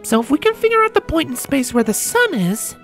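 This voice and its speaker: A man voicing a sceptical, brisk female scientist in charge, with a dry, commanding manner, in a story reading. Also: very high-pitched, sing-song, a bit bright, soft background music, pitched above the performer's own voice, exaggerated voice. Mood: dawning excitement.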